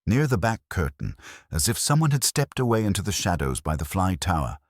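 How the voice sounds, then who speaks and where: A man voicing a shy, nervous woman who works in a library, gentle, careful and hesitant, in a mystery story. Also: low-pitched, big pitch swings, fairly quick, no echo, clean studio sound, pitched above the performer's own voice, full character voice. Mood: uneasy hesitant.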